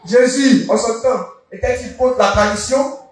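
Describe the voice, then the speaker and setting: Male preacher, high voice, slow declaiming sermon, distant mic in a booming hall. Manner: slow, declaiming, repeating the reading line by line. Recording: echoey hall, voice from far off.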